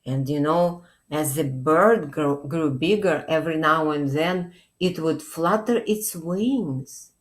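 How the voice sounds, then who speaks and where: A woman in her forties, a children's librarian, telling a picture-book story to young children in a deliberate, expressive way with check-in questions. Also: low voice. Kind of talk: read-aloud storytime addressed to young children. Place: small indoor room, plain wall.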